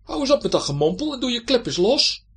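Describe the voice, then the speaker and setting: A man's voice: high voice, sing-song, normal speed, pitched above the performer's own voice. A man voicing a bold, curious young boy in a children's adventure story. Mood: bold, demanding. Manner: hushed hissing to bold calling.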